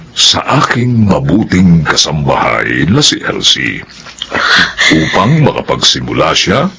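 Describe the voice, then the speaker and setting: A formal lawyer in a radio drama. His lowish voice is gravelly and swings widely in pitch as he explains with slow deliberation, with clear noise behind him. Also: pushed and bright, exaggerated voice. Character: formal, measured male lawyer reading out a will.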